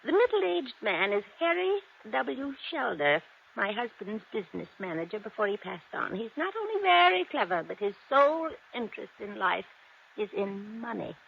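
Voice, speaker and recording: Dramatic rich widow, dismissive, lively intonation, creaky voice, muffled noisy old radio drama. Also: female voice, fairly high pitch, normal speed. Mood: guarded, emphatic.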